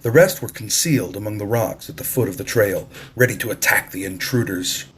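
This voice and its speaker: A man narrates a storybook in a slightly low voice with a husky edge. He reads the prose aloud as an audiobook reader would. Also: fairly quick.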